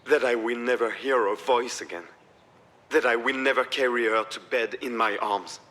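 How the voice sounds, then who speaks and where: A man's voice: medium pitch, steady tone, normal speed, a bit bright, natural voice. An actor playing a grieving, self-blaming father in a narrative video game, weary and wounded in his delivery. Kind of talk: acted visual novel dialogue.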